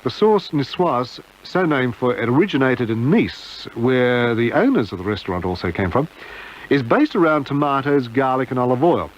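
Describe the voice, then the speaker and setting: A man's voice: mid-range voice, lively intonation, normal speed, dull audio. A man reading a scripted radio review in a wry, wordy manner on an old broadcast tape. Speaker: restaurant reviewer.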